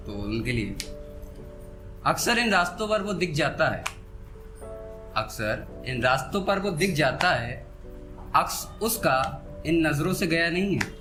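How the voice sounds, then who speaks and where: A man performing a live poetry recital, repeating couplets with deliberate pauses and speaking to listeners between verses. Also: a little high. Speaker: poet performing on stage. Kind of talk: live Hindi-Urdu poetry recital with spoken asides. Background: soft instrumental background music.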